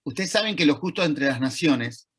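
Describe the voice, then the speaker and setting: A man with an Argentine accent giving an online talk, conversational and thoughtful, pausing to search for words. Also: fairly high pitch, animated, fairly bright tone, husky. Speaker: lecturer. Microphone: video call.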